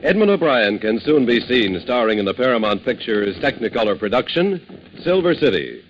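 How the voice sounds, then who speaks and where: A man's voice: medium pitch, sing-song, normal speed, slight background noise. An American man working as a 1950s network radio announcer, reading credits and promotions in a polished, declamatory style. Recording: muffled old radio broadcast, loud music behind.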